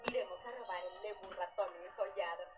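A woman's voice: mid-range voice, fairly even intonation, fairly quick, muffled recording, loud music behind the voice, close to an everyday voice. A woman voicing a scheming young girl archaeologist in a cartoon, who sweet-talks and then bosses others around with gloating glee. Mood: bossy, gloating. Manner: sweet-talking turning bossy and gloating. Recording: cartoon sound off a TV, filmed by phone in a room.

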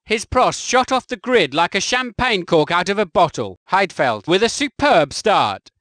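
A man delivering recorded co-commentary lines for a racing game in a measured, matter-of-fact way. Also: sits high, very animated, a bit bright, dry recording, clean studio sound.

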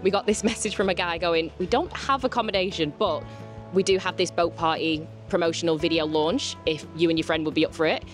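A woman's voice: fairly low pitch, fairly even intonation, brisk, soft background music. A young woman from England, chattily telling a story into a handheld mic in a café. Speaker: interviewee, boat party manager. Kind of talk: casual interview. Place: indoor beach café.

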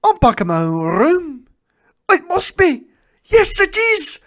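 An old storybook miser, strained and pleading, his voice climbing high and loud with emotion, as a man puts him on. The delivery is tense and theatrical. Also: very animated, takes it slowly. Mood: elated. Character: old miserly man, frightened then joyous.

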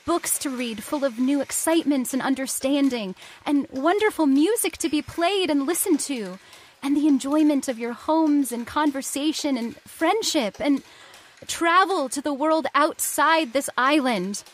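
A high, youthful female voice of an actress plays an impassioned heiress in an old-time radio horror drama. She speaks with theatrical urgency, pleading earnestly for her ideals. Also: a little slow, poor audio. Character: impassioned idealistic young heiress of island factory.

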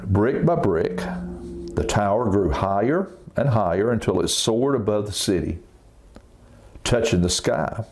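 Older preacher telling a children's story. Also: male voice, medium pitch, a little mellow. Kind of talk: read-aloud storytelling with informal asides.

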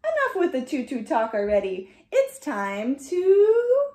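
Animated, high-pitched young woman reading to children. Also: roomy sound. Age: young adult. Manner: animated, slow, sing-song, addressing children. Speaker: children's dance teacher reading picture books. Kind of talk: read-aloud for young children. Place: small furnished room indoors. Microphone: camera a short distance away.

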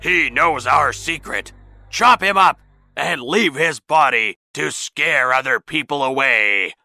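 A man's voice: medium pitch, extremely slow, bright, forceful tone, smooth, no echo, soft background music, voice pushed up, full character voice. A man voicing a commanding, ruthless leader of thieves in a storybook, barking orders imperiously. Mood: menacing.

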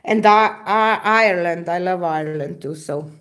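A woman in her forties from Slovenia with a Slovenian accent, chatting casually on a live stream, halting and searching for words with many fillers. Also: on the low side, a bit bright. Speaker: acrylic pouring artist hosting a live stream. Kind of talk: casual live-stream chat reading viewer comments. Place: indoor craft table, camera overhead.

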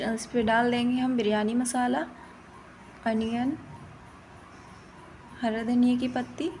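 Even, high-voiced woman narrating a recipe. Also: slight background noise. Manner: instructional, plain-spoken. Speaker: cooking channel host. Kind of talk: step-by-step recipe voice-over narration.

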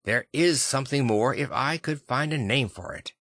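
A man voicing a perplexed, earnest gentleman lawyer in an audiobook, musing and emphatic. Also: medium pitch, moderate pace, dry recording, studio quality, close to an everyday voice. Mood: troubled, fervent. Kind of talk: dramatic audiobook character reading.

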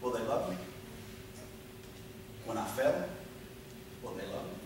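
A man's voice: medium pitch, big pitch swings, a little slow, noisy background. A middle-aged man preaching a sermon on a church stage into a handheld mic, building emphatic lists of repeated phrases. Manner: emphatic, repetitive preaching with long rhetorical lists. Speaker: pastor. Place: dark church stage with projection screen. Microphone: handheld mic, filmed from a far camera. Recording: echoey church hall from far off.

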